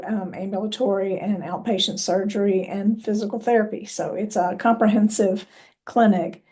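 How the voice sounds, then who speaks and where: A woman giving a prepared presentation as a healthcare executive, measured and punctuated by ums and uhs. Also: medium pitch, dark tone.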